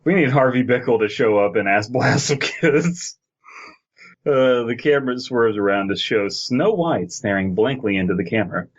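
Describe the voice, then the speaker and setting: A man's voice: mid-range voice, expressive, normal speed. A man co-hosting a podcast, casually reading a scary story and riffing jokingly on it. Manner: joking, riffs on the text. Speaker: podcast host. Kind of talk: casual comedic story reading with crude riffs. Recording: dull, lo-fi voice track.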